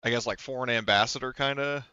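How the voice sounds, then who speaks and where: A man's voice: medium pitch, fast talker, bright, forceful tone, clean tone, no echo, highs cut off. A man acting as game master in a casual online tabletop roleplaying discussion, explaining things with frequent asides.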